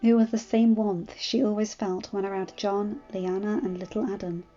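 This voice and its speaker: A woman's voice: medium pitch, little pitch movement, moderate pace, warm tone. A woman reading fan fiction aloud as scripted story narration. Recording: muffled audio with music. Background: music.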